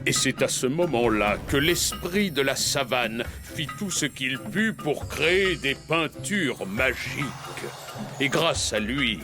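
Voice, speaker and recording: A man voicing a friendly, courteous giraffe painter in a French cartoon dub, with a polite, instructive way of talking. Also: mid-range voice.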